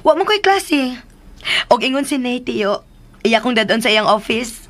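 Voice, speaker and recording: A gentle teenage daughter in a radio drama. She reasons patiently and dutifully in a fairly high voice. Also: lively intonation, unhurried. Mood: explaining.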